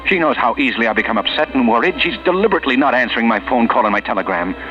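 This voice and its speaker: A man's voice: mid-range voice, expressive, very fast, natural voice. A man playing a tormented, anxious husband with a hesitant, brooding delivery in a 1940s radio drama. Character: tormented, anxious husband losing grip on reality. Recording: muffled old radio recording with music.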